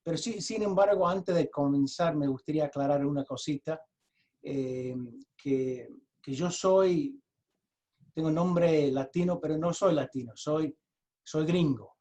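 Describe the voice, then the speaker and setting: Halting American-accented man with a mellow voice. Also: fairly high pitch. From United States. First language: English. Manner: halting, with frequent restarts and repeated words. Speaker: lawyer presenting a webinar. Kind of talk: explanatory presentation over a video call. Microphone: video call.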